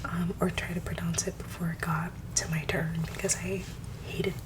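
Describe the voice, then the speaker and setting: A woman whispering soft-spoken ASMR at home, with low tones and a slight throat scratch, talking quickly and stumbling over hard words. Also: little pitch movement, distant mic, noisy background. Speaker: ASMR creator.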